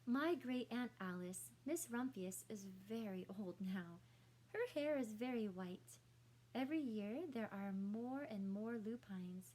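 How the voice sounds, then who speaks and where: A woman's voice: mid-range voice, measured pace, darkish tone, a little hiss or hum, poor audio. A woman teacher reading a picture book aloud to children, adding explanatory asides and questions for young listeners.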